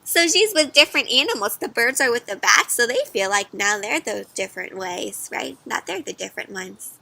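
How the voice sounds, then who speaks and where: Female storyteller for kids: high, bright, expressive, question-led interactive read-aloud, dry close sound. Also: moderate pace, gravelly, no room sound. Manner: expressive, prompting listeners with questions.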